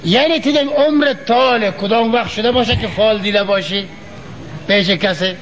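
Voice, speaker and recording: A crafty, impatient fortune teller in a stage comedy, with a strikingly high voice. He has a Kabuli Afghan accent and swings from grand mystical pronouncements to curt, bossy scolding. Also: a bit bright, a little hiss or hum, poor audio. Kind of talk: theatrical stage comedy dialogue. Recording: old stage play recording.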